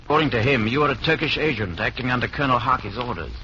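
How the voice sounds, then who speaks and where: A man's voice: mid-range voice, lively intonation, very fast, close to an everyday voice. An actor playing a nervy, blunt man caught up in espionage, with clipped, urgent delivery in a vintage radio drama. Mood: anxious. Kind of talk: 1940s radio drama acting. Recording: muffled, noisy old radio recording.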